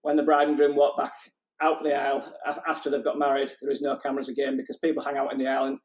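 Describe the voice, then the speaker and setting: A man's voice: medium pitch, completely flat delivery, rapid-fire. A man, a wedding photographer chatting casually and telling anecdotes with the odd laugh.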